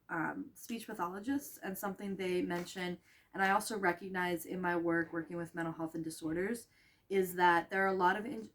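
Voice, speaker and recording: Tired young woman explaining a lesson plan. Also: medium pitch. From Russia. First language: Russian. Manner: chatty, with many ums and restarts. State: tired, low on energy. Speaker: student teacher presenting a reading lesson. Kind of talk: casual explanation to camera.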